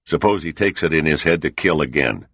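A man voicing a gruff, angry male admiral who speaks bluntly and confrontationally. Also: very deep voice, lively intonation, a little fast, a bit bright, dry recording, exaggerated voice. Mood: indignant.

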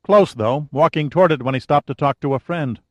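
A male narrator voicing a brisk, wisecracking young woman secretary on a phone call. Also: a little high, dry recording, pitched above the performer's own voice, close to an everyday voice. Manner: wisecracking, quick, efficient.

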